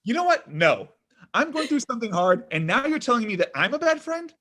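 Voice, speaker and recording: A man's voice: very high-pitched, big pitch swings, brisk, pushed and bright, heavily acted. A man acting a needy, self-dramatizing man in his thirties at a comedy table read, exasperated and argumentative. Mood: indignant. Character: needy, whiny, self-dramatizing thirty-four-year-old son.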